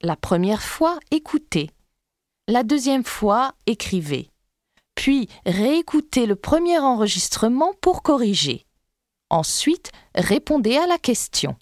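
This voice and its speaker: A woman reading recorded dictation instructions in a slow, measured, clear way. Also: fairly high pitch, sing-song, no echo, studio quality.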